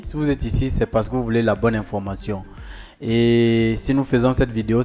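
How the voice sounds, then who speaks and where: A man of middle pitch explains study procedures and talks straight to his viewers. He repeats his key points with insistence, and soft music plays behind him. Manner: repetitive, emphatic, addresses viewers directly. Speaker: study-abroad advisor. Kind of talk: explanatory talk to camera.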